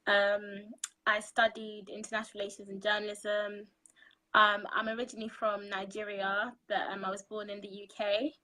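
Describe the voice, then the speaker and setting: A woman, answering interview questions over a video call in a measured way, with frequent ums. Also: medium pitch, level tone, sharp tone, poor audio. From London, UK. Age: 20s. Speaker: interview guest. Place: indoor room.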